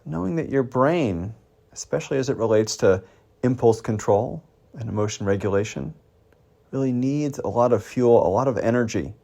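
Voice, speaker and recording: A man leading a guided meditation as a mindfulness teacher, gently prompting with questions and pauses. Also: medium pitch, lively intonation, vocal fry.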